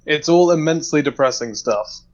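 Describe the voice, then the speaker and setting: A man explaining his thoughts in a measured way in a casual discussion, recorded over a lo-fi voice chat. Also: fairly high pitch, animated.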